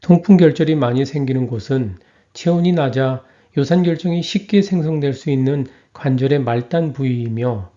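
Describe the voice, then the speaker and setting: A man reading a scripted health lecture in an even, measured way. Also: medium pitch, dull audio.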